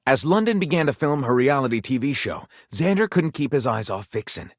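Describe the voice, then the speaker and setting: Video game role: flamboyant male pulp-romance narrator, overwrought and theatrical, hugely animated intonation, close dry sound. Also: medium pitch, fairly quick, dry recording. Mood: melodramatic, lustful. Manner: theatrical, breathless, overwrought delivery. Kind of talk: dramatic storybook narration.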